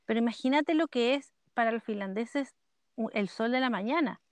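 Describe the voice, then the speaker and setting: A woman's voice: fairly high pitch, moderate pace, no echo. A woman with a Chilean accent co-hosting a podcast, telling rambling stories casually from under a duvet in bed. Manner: rambling storyteller, laughs often. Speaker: podcast co-host. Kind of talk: casual chat. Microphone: headphones with computer.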